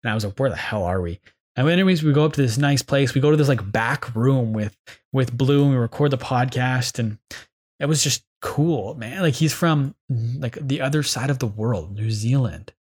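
Young man from Canada, podcast host, rambling eager storyteller, mid-pitched voice. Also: moderate pace, a little mellow, slightly rough. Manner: rambling, enthusiastic storytelling with many fillers. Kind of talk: casual reflective monologue.

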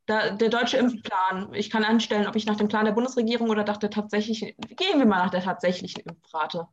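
A teenage woman talking from her apartment in a casual chat, with dry, deadpan humor. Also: mid-range voice, fast, lo-fi sound. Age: teens.

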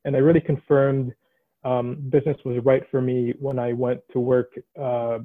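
Flat-voiced man on a tinny line. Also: mid-range voice, moderate pace, no room sound. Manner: thinking aloud, many restarts. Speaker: alumni mentor in consulting. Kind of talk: casual advice on a video call. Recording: tinny phone-like line, dry.

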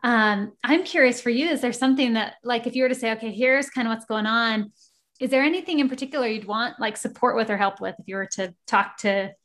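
A woman working as a relationship therapist, asking gentle, probing questions and murmuring agreement. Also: on the high side, a little fast. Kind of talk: guided therapy conversation.

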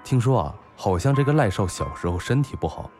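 An actor playing a brash, bantering, foul-mouthed bar owner and loyal buddy in a Chinese audio drama. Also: male voice, on the low side, sing-song, a little fast, darkish tone, faint music behind.

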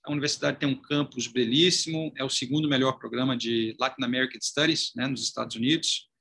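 A man's voice: medium pitch, fairly even intonation, fast, creaky, sharp tone, slight background noise. A man from Salvador, Brazil, in his forties, a chamber of commerce president telling his career story in an interview, articulate with frequent fillers. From Brazil (Salvador, Bahia). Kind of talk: conversational interview.

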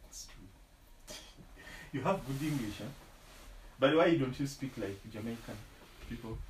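A man in his twenties from Kenya, talking casually and animatedly as a podcast guest, restarting his sentences as he searches for words. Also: medium pitch. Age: 20s. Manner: halting, restarts often, animated. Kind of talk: casual conversation. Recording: echoey room, faint background noise.

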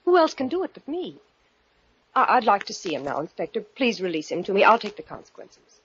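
A woman's voice: medium pitch, sing-song, a little fast, fairly bright tone, breathy edge, full character voice. A woman playing a devoted, stubborn wife with earnest, melodramatic pleading in 1940s radio mystery style. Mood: tense, insistent. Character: devoted, stubborn young wife of a dangerous husband. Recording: muffled old-time radio recording.

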